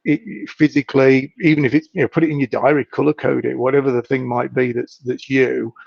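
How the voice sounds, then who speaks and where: A man speaking as a hypnotist guest in a casual live-stream chat, a little hoarse and clearing his throat, thoughtful and repeating words as he finds his point. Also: medium pitch, level tone, brisk, no echo. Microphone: video call. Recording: dry, dull video-call audio.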